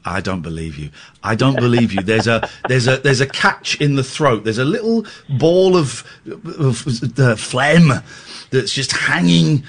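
Lively, teasing radio host. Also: male voice, medium pitch, a little slow. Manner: blunt, teasing, interrupting. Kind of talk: late-night phone-in chat. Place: radio studio.

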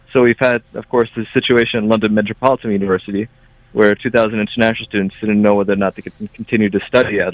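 A man's voice: on the low side, no echo. A man from Brazil who grew up in the United States, a student union officer, speaking earnestly in a radio interview. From Brazil, raised in the United States. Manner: earnest, emphatic. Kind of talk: radio interview over a line. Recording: dull lo-fi line.

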